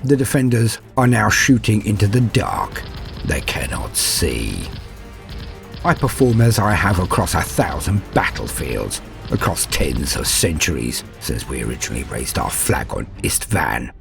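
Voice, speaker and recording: A man acts out an ancient, cruel veteran warrior recounting war in a dark storytelling style. His low voice is measured, proud and menacing, with grandiose weight on each phrase. Music plays faintly behind. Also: big pitch swings, gravelly, exaggerated voice. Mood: grim, cold. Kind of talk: dramatic first-person war storytelling.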